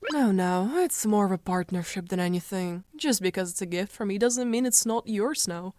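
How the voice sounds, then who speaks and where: A calm and knowing female dream spirit played by a woman speaks in a coy, measured way. Her mid-pitched voice sits close and dry, as in an animated fantasy dub, with a light teasing edge. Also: dry recording, lightly acted. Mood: teasing, reassuring. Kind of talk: animated comic dub acting.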